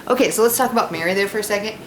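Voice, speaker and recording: A woman's voice: medium pitch, very fast, echoey room, a little hiss or hum. A woman teacher explaining a read-aloud to children in simple, informal language. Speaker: elementary school teacher. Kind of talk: read-aloud lesson with casual explanation to pupils.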